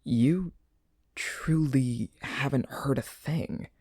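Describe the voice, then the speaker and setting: An actor playing an anxious, caring young noble in an audio drama, speaking earnestly. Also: male voice, medium pitch, extremely slow, a little mellow, dry recording, clean studio sound. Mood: worried.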